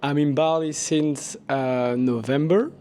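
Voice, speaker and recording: A man from Belgium in his thirties talks into a handheld mic outdoors. He speaks haltingly, full of fillers, and acts out quoted conversations with energy. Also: on the high side, fairly even intonation, mellow, a little hiss or hum. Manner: hesitant, many fillers, acts out quoted talk. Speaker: interviewee, life coach. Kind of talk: casual street interview. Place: outdoor terrace by a villa. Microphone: handheld mic held by interviewer.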